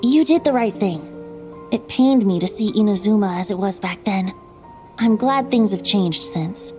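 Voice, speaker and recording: A woman's voice: mid-range voice, animated, unhurried, natural voice. A woman playing a whimsical, worldly-wise young male bard in an English-dubbed fantasy game, with a light boyish tone and a playful yet reflective manner. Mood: reflective, measured. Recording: music under the dialogue. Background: game music.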